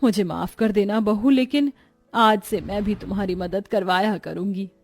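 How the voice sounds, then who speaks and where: A woman voicing a middle-aged Indian mother-in-law in a story, gentle and motherly. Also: medium pitch, moderate pace, faint music behind, lightly acted. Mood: remorseful.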